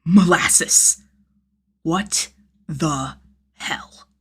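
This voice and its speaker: A woman's voice: deep voice, drawn-out words, breathy edge, exaggerated voice. A woman voicing a furious armored male vigilante in a story reading, explosive and indignant. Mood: baffled.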